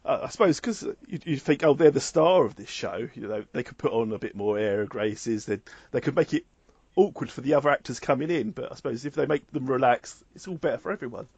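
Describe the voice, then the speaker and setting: A man's voice: mid-range voice, animated, fast, gravelly, a little mellow, husky, no echo. A man interviewing a guest, talking hesitantly with restarts and frequent laughs. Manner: hesitant, stammering, laughs often. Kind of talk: casual interview chat. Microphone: video call. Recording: dry, muffled video call audio.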